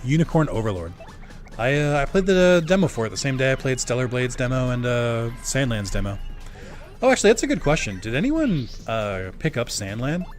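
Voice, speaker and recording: A young man streaming a game live, chatting loosely with many fillers while his voice starts to go hoarse. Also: medium pitch, very animated, a little fast, faint music behind. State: voice going hoarse. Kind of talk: casual live-stream chat while gaming.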